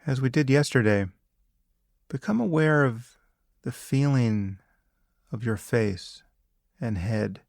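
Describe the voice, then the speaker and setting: A man leading a guided meditation as a teacher, speaking calmly and deliberately in short phrases with long pauses. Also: medium pitch, vocal fry, no room sound, clean studio sound. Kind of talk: guided meditation, spoken instruction.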